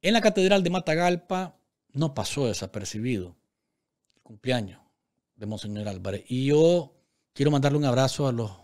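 A man delivers news commentary from a small studio desk microphone. He talks straight to his viewers, emphatic and indignant, his voice sitting at an ordinary middle pitch and often pausing on fillers. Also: expressive, darkish tone. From Nicaragua. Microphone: desk microphone on stand.

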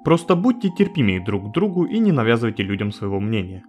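A man's voice: on the low side, big pitch swings, fast, darkish tone, soft background music. A man giving a scripted video narration in a wry, joking, informal way, with some swearing.

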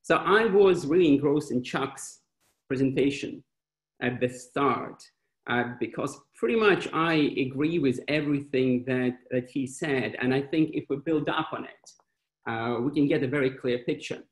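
A man's voice: medium pitch, normal speed. A man in his thirties, a venture fund panelist on a webinar video call, talking animatedly with many fillers and restarts. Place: small indoor room with wall clock. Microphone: laptop webcam video call. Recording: echoey room on a video call.

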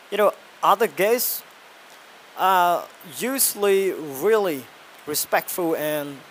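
A young man teaches English vocabulary in a slightly raised voice. He talks haltingly, full of fillers and restarts, with a handheld mic held close to his mouth in a small tiled room. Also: on the high side, slight background noise. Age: young adult. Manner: halting, many fillers and self-corrections. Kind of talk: vocabulary lesson vlog.

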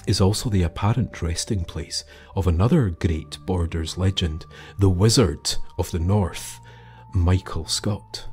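A man narrating scripted folklore in a measured, theatrical way, with dramatic pauses. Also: deep voice, darkish tone. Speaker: storyteller and host. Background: music under the voice.

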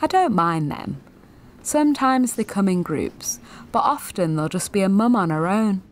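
Actress as jaded woman in her twenties, gallery attendant, sardonic, lively pitch swings, audio drama monologue, some background noise. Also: moderate pace, lightly acted. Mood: wry. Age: 20s. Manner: wry, sardonic, self-deprecating.

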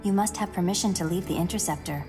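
Woman acting a low, formal robot guard. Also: quick pace, lightly acted. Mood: firm, formal. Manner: formal, precise, literal. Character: calm, formal female robot guard aboard a spaceship. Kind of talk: animated sci-fi acting. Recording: music behind cartoon dialogue.

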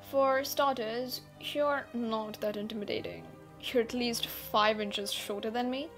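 Actress as unbothered female gamer captive, fairly high voice, playful teasing, intimate audio roleplay, soft music behind. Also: natural voice. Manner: playful, nonchalant, gently teasing. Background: background music.

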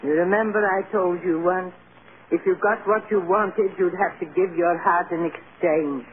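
A woman's voice: on the low side, fairly even intonation, normal speed, lightly acted. An actress playing a stern but loving old grandmother, firm and earnest, in 1940s radio drama style. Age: older. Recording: tinny, hissy old radio recording.

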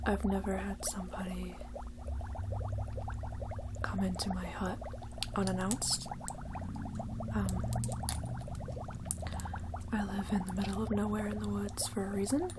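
A young woman in her twenties playing a reclusive, awkward witch in a soft-spoken ASMR roleplay, hesitant and low-key. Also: close to an everyday voice. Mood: awkward, guarded. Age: 20s. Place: indoors, small set with painted backdrop. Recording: clear background noise, bubbling ambience.

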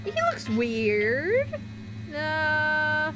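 A woman streamer with a high, animated voice reacts casually to what she watches. Loud music plays behind her. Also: drawn-out words. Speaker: streamer reacting to a horror video.

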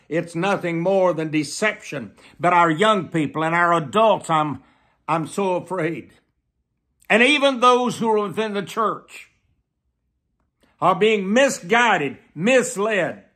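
Old guy in his 70s preaching a warning, really slow and deliberate, sharp bright voice. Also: fairly high pitch. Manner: deliberate, repeats phrases for emphasis. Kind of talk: sermon, solemn warning. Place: small plain room indoors.

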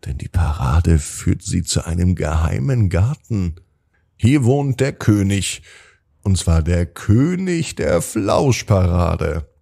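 A man's voice: deep voice, big pitch swings, slow pace, gravelly, mellow, slightly rough. A man narrating a children's bedtime story in a soothing manner, drawing out words for emphasis.

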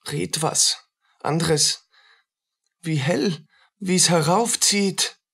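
Radio play: paranoid, downtrodden soldier, slow halting delivery, husky tone. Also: male voice, a little high, a bit bright, full character voice. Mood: haunted, fearful. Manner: halting, fragmented phrases. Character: haunted, paranoid poor soldier, humble to superiors.

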